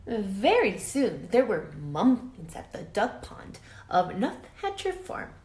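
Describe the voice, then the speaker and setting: A woman reading a children's picture book aloud expressively, with dramatic emphasis and hushed asides, pausing to question young listeners like a teacher. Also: medium pitch, wildly swooping pitch. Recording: roomy echo with faint background noise.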